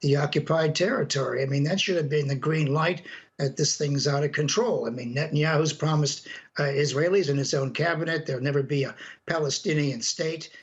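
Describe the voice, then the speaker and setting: Older man, high-pitched voice, dull audio. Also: fairly even intonation. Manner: halting, emphatic, blunt. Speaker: academic foreign affairs commentator. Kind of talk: live news interview commentary.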